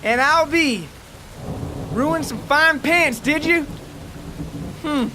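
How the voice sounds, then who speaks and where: A man voicing a harsh, foul-mouthed father who taunts and barks orders loudly in a dramatic story. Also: very high voice, drawn-out words, a little hiss or hum, pitched above the performer's own voice, exaggerated voice. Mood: scornful. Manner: loud, taunting, barking orders.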